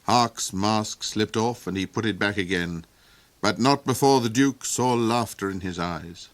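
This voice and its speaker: A man reading a fairy tale aloud as narrator, expressive and theatrical. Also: fairly low pitch, a little slow, dry recording. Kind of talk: storybook reading.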